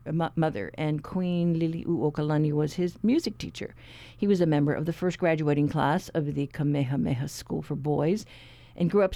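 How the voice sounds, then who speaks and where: A male radio host reads his segments in a steady, measured announcer style. His voice is warm and mellow rather than bright. The studio sound is dry and close, with no room echo. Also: a little high. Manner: measured, clear announcer delivery. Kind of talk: public radio interview and announcements. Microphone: studio microphone.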